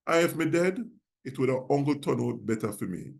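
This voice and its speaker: A man's voice: medium pitch, big pitch swings, brisk, vocal fry, a little mellow. A reverend with a Jamaican accent, a man reading scripture aloud in Patois. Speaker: reverend, guest scripture reader.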